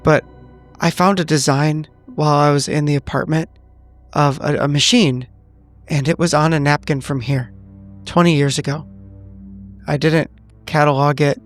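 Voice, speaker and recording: Halting, shaken veteran agent, acted by a man, higher-pitched. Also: fairly even intonation, faint music behind, natural voice. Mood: troubled, defensive. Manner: halting, stammering, repeating himself. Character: shaken, evasive male veteran agent, smoking, guiltily confessing. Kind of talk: improvised in-character roleplay.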